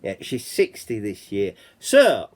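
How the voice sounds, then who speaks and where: A man's voice: on the low side, wildly swooping pitch, slow pace, darkish tone. A man with a Yorkshire accent hosting a casual chat channel, reading out reports and rambling, often saying "you know what I mean". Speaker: channel host.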